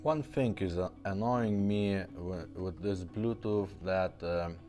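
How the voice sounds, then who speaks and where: A man's voice: deep voice, takes it slowly, dark tone, soft background music. A man casually demonstrating a car stereo, talking in a plain, matter-of-fact way and often checking in with "okay?". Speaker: car radio repair host.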